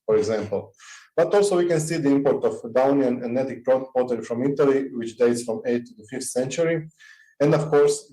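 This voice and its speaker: A man from Croatia giving an online presentation over a video call, speaking haltingly with many fillers. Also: medium pitch, mellow, reverberant, low-quality recording. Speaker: conference presenter. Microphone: computer mic on a video call.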